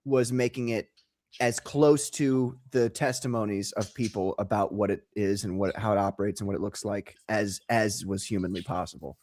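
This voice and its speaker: A man's voice: fairly low pitch, steady tone, moderate pace, a little mellow. Enthusiastic, rambling male comic creator. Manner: enthusiastic storyteller, rambling, laughs easily. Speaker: guest, comic book creator. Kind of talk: casual interview chat.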